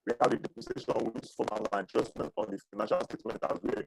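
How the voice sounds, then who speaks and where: A man in his thirties teaching an accounting class over a video call, repeating himself and pausing often. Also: on the low side, fairly even intonation, vocal fry, slight background noise. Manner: repetitive, halting, asks for questions. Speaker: accounting lecturer. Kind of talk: online class lecture. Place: small indoor room. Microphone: webcam video call with earphones.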